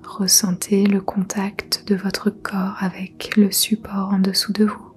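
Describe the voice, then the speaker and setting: A woman leading a guided yoga nidra meditation in a soft, breathy near-whisper, soothing and gentle. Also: mid-range voice, completely flat delivery. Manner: soothing, gentle, unhurried instruction.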